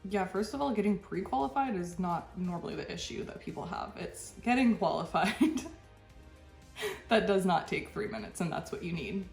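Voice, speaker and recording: A stuffy-nosed woman hosts a reaction video, frank and exasperated, breaking into short laughs between points. Her voice sits at an ordinary middle pitch. The room rings with echo, and faint music plays underneath. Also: expressive, moderate pace, creaky, darkish tone. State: stuffy from a cold. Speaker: channel host. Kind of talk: reaction commentary.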